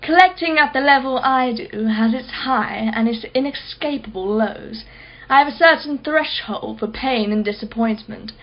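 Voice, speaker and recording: Woman acting as rich female art collector, high-set voice, articulate, haughty, sharp-tongued, radio play, slightly dull audio. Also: moderate pace. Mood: sceptical, mocking. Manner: articulate, imperious, sardonic.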